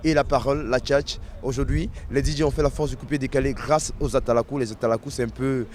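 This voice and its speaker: A man from the Ivory Coast, explaining in a documentary interview and often pausing on fillers. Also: mid-range voice, fairly even intonation, darkish tone. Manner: explanatory, with frequent hesitation fillers. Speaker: member of the Ivorian community in Dakar.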